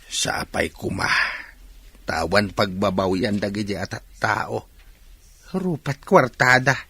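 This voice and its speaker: An actor playing a worried, patient poor husband and father in an Ilocano radio drama, earnest and raspy. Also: lowish voice, slow pace, sharp tone, exaggerated voice. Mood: resigned, aggrieved. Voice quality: raspy, gravelly.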